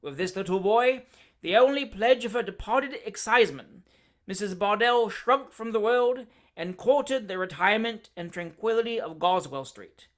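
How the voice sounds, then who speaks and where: A man's voice: expressive, normal speed, fairly bright tone. A man doing a pompous courtroom barrister. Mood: grandiloquent. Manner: grandiloquent, booming oratory.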